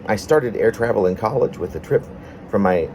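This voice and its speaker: A man from Arkansas, a poet presenting and reading his own autobiographical work in an unhurried, personal way. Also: lowish voice, expressive, darkish tone, a little hiss or hum. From Arkansas, United States. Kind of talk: casual introduction, then reading aloud autobiographical prose poem.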